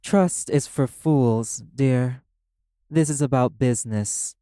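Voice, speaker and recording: A man's voice: mid-range voice, takes it slowly, warm tone, clear, resonant tone, no echo, clean studio sound, close to an everyday voice. A man playing a proud, condescending arch-demon casino owner in an audio roleplay, drawling and teasing with pet names. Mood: sly, needling. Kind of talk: dramatic audio roleplay acting. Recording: music under the voice. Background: music.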